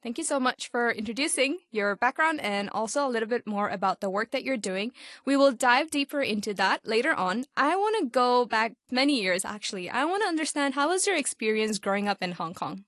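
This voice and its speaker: A woman's voice: on the high side, lively intonation, fairly quick, fairly bright tone, dry recording, studio quality. A woman hosting an interview, asking guiding questions in a friendly way. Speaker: host. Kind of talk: hosted interview.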